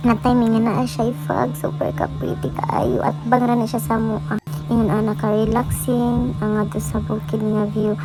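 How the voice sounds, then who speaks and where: Female vlogger, Cebuano Bisaya accent, highish voice, informal chatty voice-over, loud music bed. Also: flat delivery, normal speed, low-quality recording. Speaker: vlogger narrating a minivlog. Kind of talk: casual vlog voice-over.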